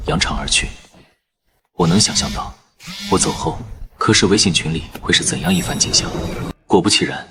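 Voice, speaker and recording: Very deep-voiced male storyteller. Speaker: narrator. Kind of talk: first-person story narration.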